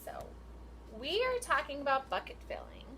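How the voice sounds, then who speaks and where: Lively high-voiced woman reading to young children. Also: normal speed, a little hiss or hum. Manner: instructional, asks questions and prompts children to repeat. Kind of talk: picture book read-aloud for young children.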